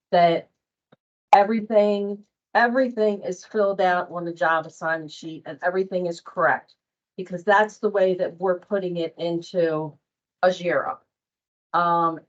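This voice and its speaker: A woman presenting an informal workplace training session, speaking in a plain-spoken, explanatory way. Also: medium pitch. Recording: dull, muffled lo-fi audio.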